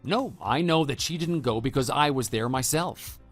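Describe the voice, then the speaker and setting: A man playing a calm, matter-of-fact husband and former teacher in a scripted online radio drama. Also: medium pitch, lightly acted. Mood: calm, firm. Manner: measured, matter-of-fact, quietly dry. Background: background music.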